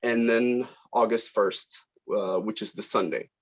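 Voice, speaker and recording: A man's voice: fairly low pitch, fairly even intonation, unhurried. A man leading a casual online production meeting as director, speaking informally and appreciatively, with hesitant phrasing. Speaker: student film director running a table read meeting. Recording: dull lo-fi online call audio.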